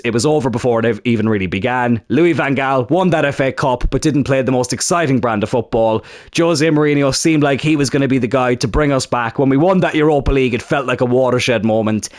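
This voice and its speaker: A man's voice: mid-range voice, no room sound, clean studio sound. A young man talking enthusiastically and casually to his channel's viewers about a football video game. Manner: enthusiastic and chatty. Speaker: gaming YouTuber.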